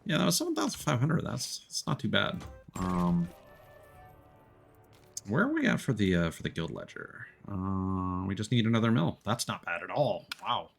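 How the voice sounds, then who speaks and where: Low-voiced, slightly creaky man chatting casually. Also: sing-song, normal speed, soft background music. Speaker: livestreamer hosting a co-op game. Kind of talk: casual gaming chat.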